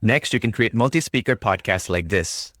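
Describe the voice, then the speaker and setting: A man casually walking through a software demo, upbeat and breezy. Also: normal speed, faint music behind. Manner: upbeat, breezy, says "boom" often. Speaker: product demo host. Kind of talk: casual screen-recorded walkthrough.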